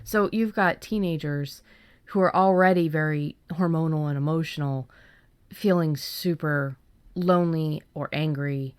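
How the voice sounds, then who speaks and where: A woman's voice: low-pitched, unhurried, clean tone, no echo. A woman co-hosting a podcast, talking casually and informally about writing. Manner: informal, conversational. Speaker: podcast co-host. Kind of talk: casual discussion of fiction writing.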